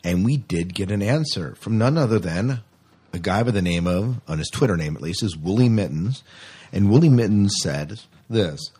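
A man's voice: on the low side, animated, normal speed, dark tone, breathy edge. A man hosting a podcast in casual chat, rambling along in a jokey way. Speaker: podcast host.

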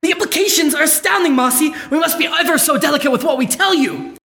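An eccentric doctor of science, acted by a performer, excitable and pedantic. The voice is high, bright and gravelly. Lines are declaimed like old radio comedy, live in a roomy space. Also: quick pace. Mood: excited. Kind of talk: live old-time radio sketch acting. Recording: roomy live stage sound.

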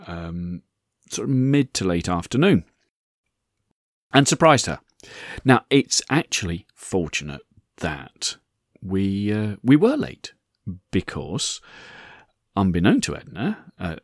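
A hoarse man in his sixties, hosting a podcast and telling a rambling personal story with many repeated words. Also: sits low, no echo, studio quality. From England. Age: 60s. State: hoarse, croaky voice. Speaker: podcast host. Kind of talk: casual anecdotal monologue.

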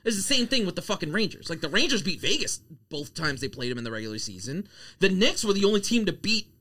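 A man's voice: high voice, fast talker. A man in a casual sports debate, talking emphatically and repeating himself, with swearing. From Queens, New York. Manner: animated, emphatic, repetitive, profane. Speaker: sports podcast co-host.

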